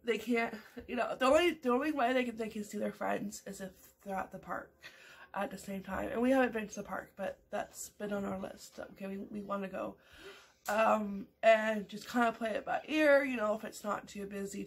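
A woman's voice: mid-range voice, normal speed, creaky, husky. A woman in her thirties from Canada, chatting casually to her webcam over morning coffee at home, rambling with lots of fillers and restarts. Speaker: vlogger. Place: small home room indoors.

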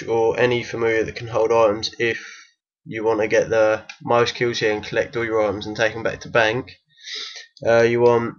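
Croaky man guiding through a game. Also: on the low side, muffled recording. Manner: hesitant, many ums and restarts. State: croaky from illness. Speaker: game guide maker. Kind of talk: casual gameplay tutorial voice-over.

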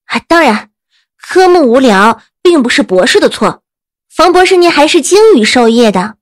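A cheeky girl student in a period audio drama. Her high voice swings expressively, politely mock-earnest, in a dry, close recording. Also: big pitch swings, normal speed, no echo. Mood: mock respectful. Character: cheeky, quick-witted young girl student.

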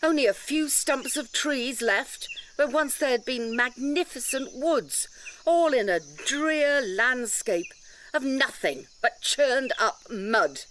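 An actress playing an indignant, articulate defender of a nature sanctuary in an audio drama, challenging and emphatic. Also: female voice, high-pitched, expressive, slow, a bit bright, a little hiss or hum, heavily acted. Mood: impassioned.

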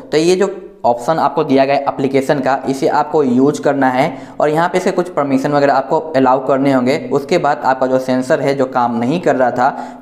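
A man's voice: medium pitch, darkish tone. Indian man in an echoey room. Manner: explanatory, addresses viewers directly. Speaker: tech reviewer, host. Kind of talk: informal smartphone software walkthrough. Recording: echoey, roomy sound.

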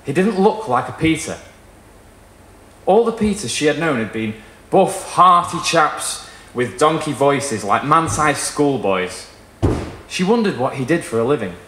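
A young man giving an expressive, theatrical live reading of literary fiction. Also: on the high side, measured pace, slight background noise. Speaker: story reader. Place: small indoor performance room with wooden wall. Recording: roomy live venue sound.

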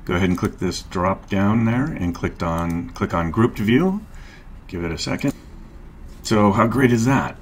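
A middle-aged man narrating a screen-share tutorial, calmly explaining each step and click as a marketing instructor. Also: lowish voice, unhurried, a little hiss or hum. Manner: calm, explanatory, walks through clicks step by step.

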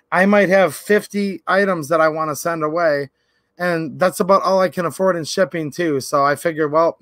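A man in his thirties hosting a livestream, talking casually and earnestly to his viewers, his voice a little raspy from cold and pollen. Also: high-pitched, fairly bright tone, dry recording, studio quality. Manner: earnest, rambling, repeats "literally" and "like". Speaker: channel host. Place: indoor workshop or garage.

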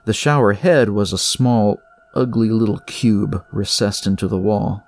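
A man narrating scripted horror fiction in a measured way, with dramatic pauses. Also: fairly low pitch, darkish tone, soft background music.

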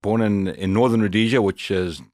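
A middle-aged man with a deep voice and a Zimbabwean accent talks as a podcast guest. He tells his story conversationally, in a very dry recording. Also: fairly even intonation, moderate pace, darkish tone, no echo. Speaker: podcast guest, professional hunter.

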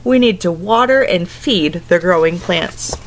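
A woman's voice: lowish voice, lively intonation, a little slow, a little hiss or hum, low-quality recording. A woman in her thirties reading a picture book aloud to young children, instructing in simple phrases and putting questions to them. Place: indoors at home, living room couch.

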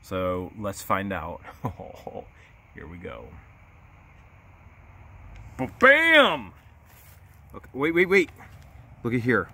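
A man in his thirties digging through a storage unit outdoors, talking excitedly to his phone camera in a casual vlog. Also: fairly low pitch, extremely slow, creaky, pauses often, husky. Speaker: storage unit treasure hunter. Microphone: handheld phone camera. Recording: noisy outdoor phone recording.

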